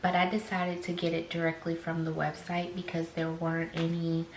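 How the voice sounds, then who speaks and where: A young woman, slightly raspy after choking on a drink, chatting slowly through an unboxing vlog with many fillers and soft whispered asides. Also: low-pitched, completely flat delivery, slight background noise. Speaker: fashion vlogger. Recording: echoey room.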